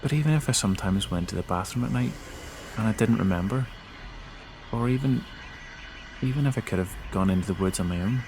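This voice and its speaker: A man giving a dramatic first-person narration of a scary story, with halting, emotive delivery. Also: lowish voice, a little mellow, a little hiss or hum, poor audio. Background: background music.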